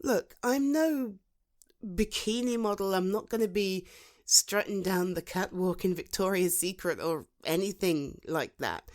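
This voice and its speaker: A British woman playing a protective, supportive female friend in a conversational ASMR roleplay, soothing and encouraging, with thoughtful pauses. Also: mid-range voice, darkish tone, lightly acted. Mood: earnest, encouraging. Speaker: voice actor in an audio roleplay. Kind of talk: ASMR roleplay, conversational one-sided dialogue.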